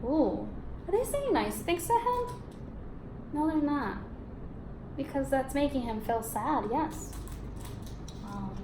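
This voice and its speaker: A woman teaching young children in a read-aloud lesson, encouraging and expressive, asking questions and confirming answers. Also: high voice, slow, long pauses. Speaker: elementary school teacher. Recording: echoey room with background noise.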